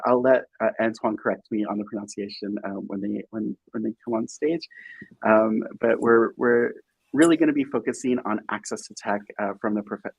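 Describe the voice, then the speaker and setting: A man hosting and moderating an online career fair in a casual, upbeat, somewhat halting way. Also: medium pitch, gravelly, slightly rough, no room sound, low-quality recording. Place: remote virtual event stream.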